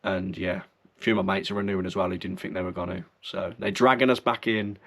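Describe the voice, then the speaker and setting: A man chatting casually through football club news, slightly croaky and under the weather, rambling and correcting himself as he goes. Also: low-pitched, steady tone, quick pace. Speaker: football fan channel host. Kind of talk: casual fan news chat.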